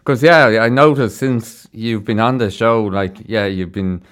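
A man's voice: on the low side, a little slow, fairly bright tone. A man with an Irish accent hosting a casual radio interview in a studio, chatty and encouraging. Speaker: radio show host.

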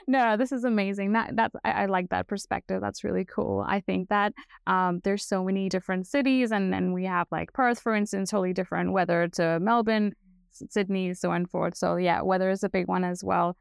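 An upbeat woman hosts a podcast with effusive enthusiasm. Her voice has some creak and is recorded close and dry. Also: mid-range voice, fairly even intonation, brisk, no room sound. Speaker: podcast host. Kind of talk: upbeat podcast interviewing with a scripted intro.

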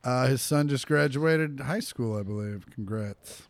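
A man's voice: mid-range voice, unhurried, no echo. A man co-hosting a casual comedy podcast, full of crude, playful banter. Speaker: podcast co-host.

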